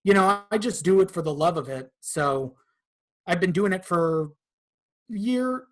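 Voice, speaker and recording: A man's voice: sits high, lively intonation, measured pace. A man talking casually as a podcast guest, informal and conversational, with some swearing. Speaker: podcast guest, content creator.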